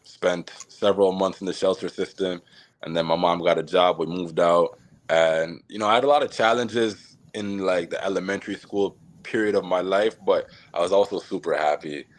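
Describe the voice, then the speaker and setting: A man from Jamaica, a podcast guest, telling his life story in a relaxed, reflective way with many fillers and small laughs. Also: sits low, normal speed. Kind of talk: casual storytelling interview.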